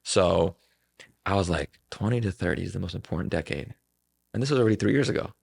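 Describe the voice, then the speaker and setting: A man's voice: low-pitched, expressive, fast, creaky, breathy edge. A man in his twenties talking casually and reflectively as a podcast guest, earnest and rambling, with plenty of filler words. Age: 20s.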